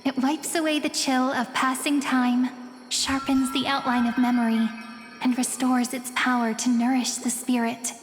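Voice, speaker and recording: A woman playing a gentle, wistful young woman in a video game, with soft, lyrical phrasing and quiet playfulness. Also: high-pitched, flat delivery, moderate pace, long reverb tail, natural voice. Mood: tender, wistful. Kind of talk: scripted video game character acting. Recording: music behind the voice.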